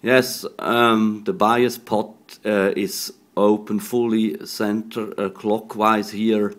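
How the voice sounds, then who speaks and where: Slow, low-voiced man giving a technical tutorial. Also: flat delivery. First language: not English. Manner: halting, short phrases. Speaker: electronics hobbyist demonstrating a radio modification. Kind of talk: hands-on technical tutorial, improvised. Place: indoors at a workbench.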